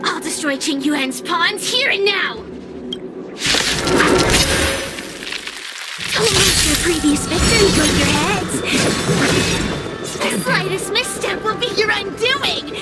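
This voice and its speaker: A woman's voice: very high-pitched, animated, a little slow. A woman playing a sly, menacing female fire spirit in a video game, theatrical and condescending. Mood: furious, defiant. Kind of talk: video game cutscene voice acting. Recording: game music under the voice.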